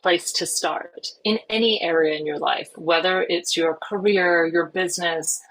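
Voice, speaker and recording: A woman's voice: fairly low pitch, fairly even intonation, unhurried, fairly bright tone, low-quality recording. A woman in her forties from Singapore with a hard-to-place international accent, talking earnestly as a coach guest on a podcast, with many fillers and restarts. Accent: hard-to-place international English. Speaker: guest, life and career coach.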